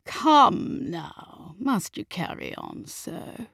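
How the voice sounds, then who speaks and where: A woman's voice: medium pitch, animated, slow pace, gravelly, a bit bright, dry recording, studio quality, heavily acted. A woman acting a haughty, drawling aristocratic husband. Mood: coldly bored. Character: coldly bored, cutting aristocratic husband and father. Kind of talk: audiobook character acting.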